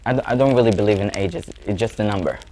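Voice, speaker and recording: A man's voice: on the low side, racing, mellow, a little hiss or hum, low-quality recording. A young man in his twenties from Israel with an Israeli accent, speaking earnestly as an interview guest and sometimes searching for words. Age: 20s. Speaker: guest musician. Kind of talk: interview answers.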